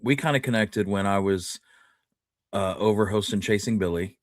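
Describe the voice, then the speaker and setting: A man hosting a podcast, chatting casually and pausing often mid-sentence. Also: fairly low pitch, no echo, studio quality. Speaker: host.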